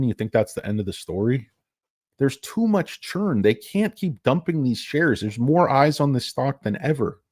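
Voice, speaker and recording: A man's voice: medium pitch, darkish tone, dry recording, studio quality. A man hosting a casual stock commentary, rambling, crude and sarcastic as he talks through charts and filings. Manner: rambling, crude and sarcastic, with mocking put-on voices. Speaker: stock market video host.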